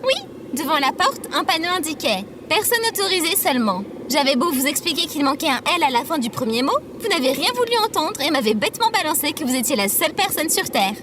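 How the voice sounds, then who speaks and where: A woman's voice: high voice, very animated, quick pace, noisy background. A woman playing a small, prim female robot companion in an audio drama, speaking formally and scolding with exasperation. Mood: indignant, explaining.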